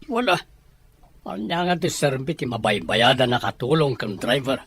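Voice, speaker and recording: A man's voice: mid-range voice, sing-song, normal speed, a bit bright, husky, full character voice. A man with a Filipino accent playing a stern, critical middle-aged father in an audio drama.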